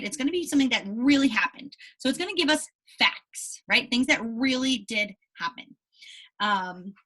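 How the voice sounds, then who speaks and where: A woman teacher giving a read-aloud lesson to young children, animated and explanatory with frequent check-in questions, in a slightly husky voice. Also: fairly high pitch, low-quality recording. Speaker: elementary school teacher.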